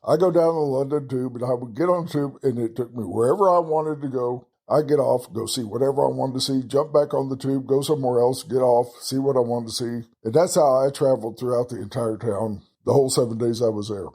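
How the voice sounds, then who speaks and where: A man's voice: medium pitch, a little fast, few pauses, dark tone, husky. A man with a Texan accent hosting a travel podcast, talking casually and folksily with chatty self-deprecating asides. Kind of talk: casual solo travel talk.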